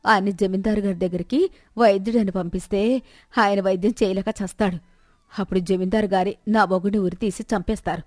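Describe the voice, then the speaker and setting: A woman's voice: medium pitch, steady tone, brisk, a little mellow, no room sound, studio quality, close to an everyday voice. Greedy, scheming village wife, voiced by a woman. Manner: scornful, self-pitying complaining.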